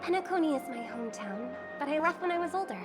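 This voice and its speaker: An actress voicing a gentle young celebrity singer in a video game, sweet, poised and courteous. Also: female voice, sits high, expressive, quick pace. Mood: gracious. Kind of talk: dubbed video game dialogue. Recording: game audio under loud music.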